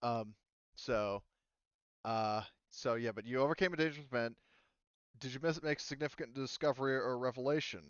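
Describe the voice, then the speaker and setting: A man chatting casually as a game master, explaining things in a halting, conversational way. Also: medium pitch, smooth, no room sound, highs cut off. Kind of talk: casual chat, running a tabletop game session.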